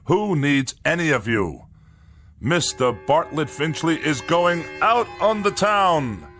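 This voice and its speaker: An actor playing a pompous, vain and irritable middle-aged bachelor with florid, theatrical diction in a radio-style drama. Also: male voice, fairly high pitch, very animated, takes it slowly, fairly bright tone, soft background music, heavily acted. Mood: scornful, self-satisfied.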